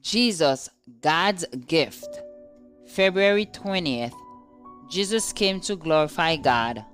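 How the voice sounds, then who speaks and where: A woman's voice: sits low, expressive, slow, soft background music. A woman reading a scripted devotional aloud as narrator. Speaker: devotional reader.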